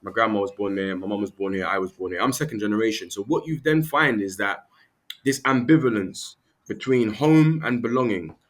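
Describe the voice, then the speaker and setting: A man's voice: on the low side, brisk. A British man, a podcast guest, explaining his points earnestly and often checking in with "right?" and "okay?". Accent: British English. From England. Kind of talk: conversational podcast interview.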